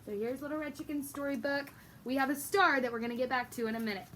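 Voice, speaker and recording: A woman with a high voice teaches young children through a storybook read-aloud. She explains things in an encouraging way and addresses the listeners directly, over faint background noise. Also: fast talker.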